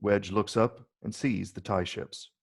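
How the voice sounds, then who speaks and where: Gravelly low-voiced man narrating a screenplay. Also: steady tone, unhurried. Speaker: narrator reading stage directions.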